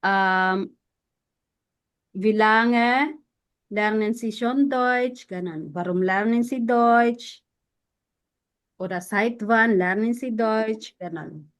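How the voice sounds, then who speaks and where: A woman's voice: fairly high pitch, clear, resonant tone. Give me a patient woman teaching German. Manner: patient, corrects students slowly. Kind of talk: online language lesson.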